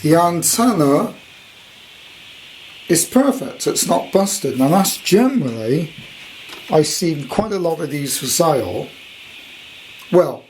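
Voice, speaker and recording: A man with a fairly high voice talks slowly through an informal hands-on showcase. He rambles in a jokey way, playing with words as he goes. It is a home recording with some noise behind him. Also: darkish tone. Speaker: vintage radio collector presenting his purchase. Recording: clearly noisy home recording.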